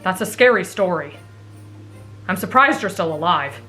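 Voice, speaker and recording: A woman playing an excitable, anxious, whiny teenage boy in an anime fan drama, dramatic and often sarcastic. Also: lowish voice, normal speed, faint music behind. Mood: incredulous.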